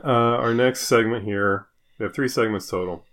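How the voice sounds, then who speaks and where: A man's voice: fairly low pitch, moderate pace, fairly bright tone. A man co-hosting a comedy podcast in casual banter, with dry mock-serious irony and a hesitant, self-interrupting delivery. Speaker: podcast co-host. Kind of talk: casual comedy banter, reading joke news items.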